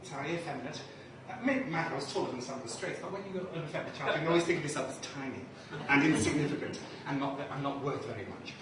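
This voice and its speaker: A man from Liverpool, a drag performance poet, bantering camply with a live crowd and reciting his poem. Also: on the high side. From Liverpool, England. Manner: camp, flamboyant, chatty with the crowd. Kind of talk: live stage poetry with banter. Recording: echoey room from far off, noisy.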